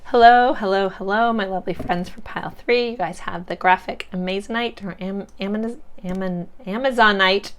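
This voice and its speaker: Woman, tarot reader, congested cracking voice, chatty, reassuring, mid pitch. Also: fairly even intonation, moderate pace, few pauses, slight background noise. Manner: chatty and reassuring, loose with many fillers. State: congested, cracking voice from sinus drainage. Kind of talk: casual pick-a-card tarot reading.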